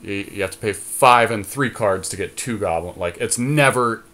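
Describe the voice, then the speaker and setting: Deep-ish guy on a gaming podcast, casual card draft talk, kinda analytical and opinionated. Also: normal speed, sharp tone. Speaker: podcast co-host.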